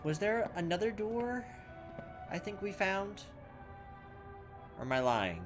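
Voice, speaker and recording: A man's voice: high voice, big pitch swings, takes it slowly, music in the background. A man playing a horror game and reading its text aloud, thinking aloud and making fun of his own mistakes. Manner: self-mocking, thinks aloud, loses his way. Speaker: let's play gamer.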